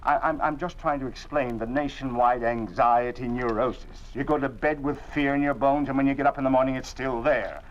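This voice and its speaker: An actor playing an anxious middle-aged father in a 1950s radio drama, earnest and halting, often repeating words. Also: mid-range voice, natural voice. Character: worried middle-aged family father under a regime. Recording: dull old 1950s radio recording.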